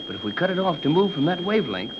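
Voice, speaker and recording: A man playing a steady, practical captain in a 1930s radio serial, plain-spoken and reassuring. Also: on the high side, fast talker. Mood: steady, brisk. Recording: muffled, noisy 1930s radio recording.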